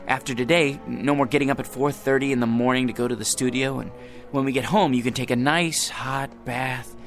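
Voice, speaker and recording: An actor playing a weary, devoted, long-suffering husband in a radio drama, earnest and wry. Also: male voice, medium pitch, fairly even intonation, a little fast, soft background music, natural voice. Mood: tender, hopeful.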